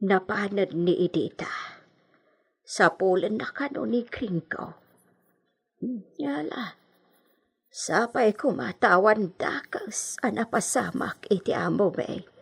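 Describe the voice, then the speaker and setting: A woman's voice: mid-range voice, animated, takes it slowly, creaky, long pauses, breathy edge, exaggerated voice. A woman playing an anxious, easily flustered country woman in a radio drama, exclaiming and muttering to herself. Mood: worried.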